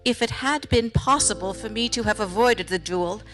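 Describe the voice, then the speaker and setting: A woman voicing a devoted early American wife, reading a farewell letter aloud in a tender, solemn, measured way. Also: medium pitch, fairly bright tone, natural voice. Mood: tender, sorrowful. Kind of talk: dramatic reading of a period letter. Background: music.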